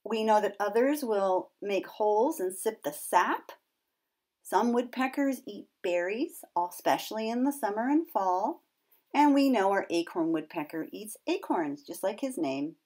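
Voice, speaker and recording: A middle-aged woman narrates an educational piece for young children. She has a medium-pitched voice and explains things in simple, clear wording. Now and then she puts a question to her young listeners. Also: lively intonation, measured pace, darkish tone. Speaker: nature educator and presenter.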